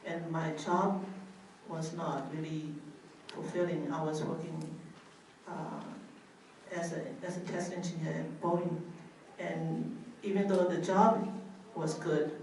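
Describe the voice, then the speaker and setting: A middle-aged woman from Myanmar, a Buddhist nun, telling her story hesitantly with many pauses and restarts. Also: low voice, a little mellow. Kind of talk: personal testimony talk. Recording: echoey room, far from mic, faint noise.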